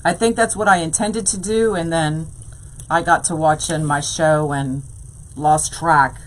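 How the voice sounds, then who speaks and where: A woman leads a live craft lesson in a ribbon-filled craft room. She talks slowly and casually in a lowish voice. She chats with her viewers between steps. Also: fairly even intonation, slight background noise, low-quality recording. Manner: chatty, says "y'all", answers live chat. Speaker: wreath-making tutorial host.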